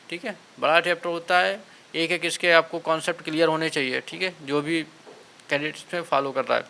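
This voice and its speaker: Bright, higher-voiced male exam coach. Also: slight background noise. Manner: instructive, urging, often adds check-in tags. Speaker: exam coaching instructor and channel host. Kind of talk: informal motivational exam-prep talk to students.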